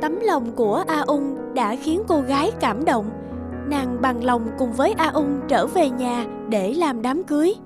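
High-voiced, expressive woman narrator. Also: unhurried. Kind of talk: scripted fairy-tale narration. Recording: music behind the voice.

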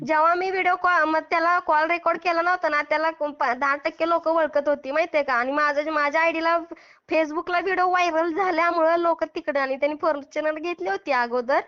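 A woman's voice: extremely high pitch, fairly even intonation, brisk. A Maharashtrian woman arguing heatedly on a phone call, scolding and taunting in a confrontational way. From Maharashtra, India. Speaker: prank caller who posts call recordings. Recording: dull lo-fi phone call recording.

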